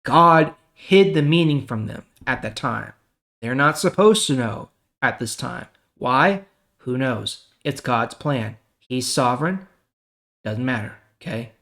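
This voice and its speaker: Guy reading bible and explaining it, casual conversational host, average pitched voice. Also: expressive, takes it slowly, vocal fry, fairly bright tone.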